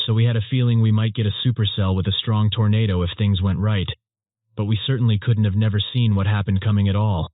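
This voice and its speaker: A man playing an earnest, measured storm chaser giving scripted interview answers. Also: on the low side, steady tone, dry recording, lightly acted. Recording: muffled narrowband phone-like audio.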